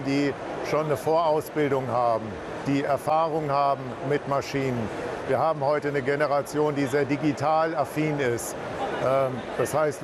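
A man in an interview, explaining his point in a somewhat repetitive way. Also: a little high. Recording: interview with clear background noise.

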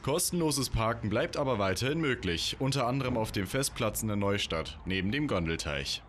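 A man's voice: lowish voice, expressive, a little fast, fairly bright tone, slight background noise. A man reading a local news report in a matter-of-fact scripted narration style.

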